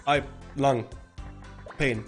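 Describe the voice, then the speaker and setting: Hoarse rambling streamer guy. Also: mid-range voice, steady tone, drawn-out words. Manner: rambling, chatty, swearing, with frequent restarts. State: hoarse and rough from bronchitis. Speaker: game streamer. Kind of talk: casual chat to stream viewers while playing. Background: faint game music.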